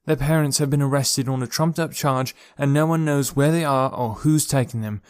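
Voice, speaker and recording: A man's voice: mid-range voice, fairly quick, clean tone, no room sound, studio quality, voice pushed up, close to an everyday voice. A man voicing an earnest, urgent young woman who leads a forest community. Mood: solemn. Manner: raised, earnest and pleading delivery.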